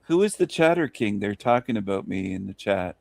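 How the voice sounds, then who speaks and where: A man's voice: lowish voice, animated, brisk, vocal fry. A man in casual chat on a live stream, talking in a rambling, provocative way.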